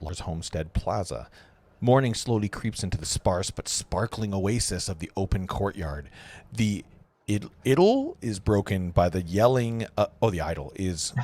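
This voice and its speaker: A man's voice: on the low side, darkish tone. A man narrating stage directions at an amateur table read, sometimes stumbling over the words.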